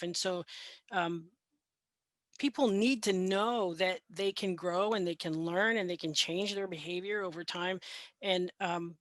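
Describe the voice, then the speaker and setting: A woman's voice: medium pitch, no room sound. Woman, health trainer, candid and chatty, dry close audio. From Mexican heritage, raised in the US. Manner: candid, conversational, laughs lightly. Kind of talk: panel discussion.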